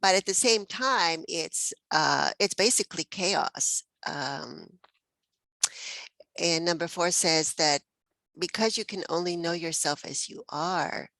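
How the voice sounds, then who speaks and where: A woman's voice: on the low side, takes it slowly, vocal fry, fairly bright tone, dry recording. A woman from Mexico hosting a spiritual text study group, thinking aloud with many fillers and easy laughs. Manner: reflective, many fillers and restarts, laughs often.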